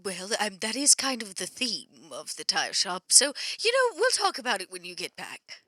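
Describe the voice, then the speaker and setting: A woman playing an exasperated, sarcastic vampire businesswoman in an improvised roleplay, with a halting, dry delivery. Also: mid-range voice, sing-song, sharp tone, dry recording. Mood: breezy. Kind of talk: improvised tabletop roleplay, phone conversation.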